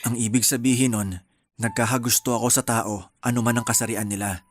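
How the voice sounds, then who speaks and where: An actor playing an earnest, candid young boyfriend in a Filipino radio drama. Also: male voice, medium pitch, fairly even intonation, a little fast, natural voice. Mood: earnest, loving. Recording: music under the dialogue.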